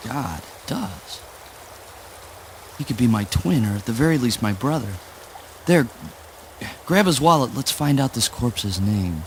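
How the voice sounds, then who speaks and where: A man's voice: medium pitch, animated, measured pace, long pauses, slight background noise, lightly acted. A man playing a frantic, defiant father in a spoken word play, with an urgent, dramatic delivery. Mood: shaken. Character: frantic, defiant father hiding corpses in a river. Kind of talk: spoken word stage-style radio play acting.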